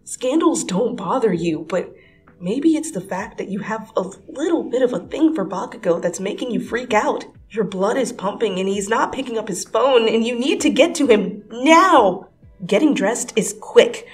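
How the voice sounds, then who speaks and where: A woman giving a dramatic second-person story reading, swinging from hushed whispers to breathless, excited rises. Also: mid-range voice. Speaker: fanfiction reader.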